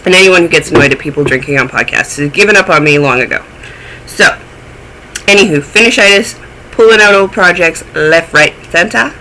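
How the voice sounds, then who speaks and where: Male podcast host, a touch phlegmy, chatty and self-mocking, with a sharp, bright tone. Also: on the high side, brisk, a little hiss or hum, rough recording. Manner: chatty, rambling, self-deprecating. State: a little phlegmy. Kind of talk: casual chat.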